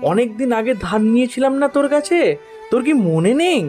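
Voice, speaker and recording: A man voicing an earnest friend, pitched up. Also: very animated, fairly quick, a little mellow. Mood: puzzled, insistent. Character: Ajit, concerned male friend visiting a dying man's bedside. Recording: music behind the voice.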